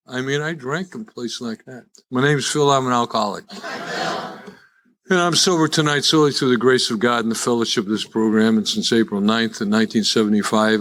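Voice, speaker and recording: A man in his seventies with a Chicago accent, giving live personal testimony in a wry, folksy, conversational storytelling way. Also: lowish voice. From Chicago, USA. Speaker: guest speaker at a recovery meeting. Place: live in-person meeting before an audience.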